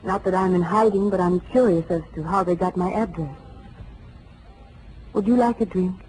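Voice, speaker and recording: An actress playing a poised, candid, wistful young widow in an intimate, dramatic 1940s radio style. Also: female voice, lowish voice, normal speed, close to an everyday voice. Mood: poised, guarded. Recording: vintage 1940s radio, faint noise.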